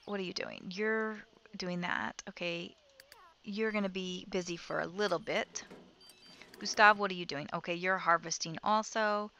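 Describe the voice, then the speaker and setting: A woman's voice: mid-range voice, creaky, sharp tone. A woman casually commenting as she plays a life-simulation game, thinking aloud and giving her characters directions. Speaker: let's play host.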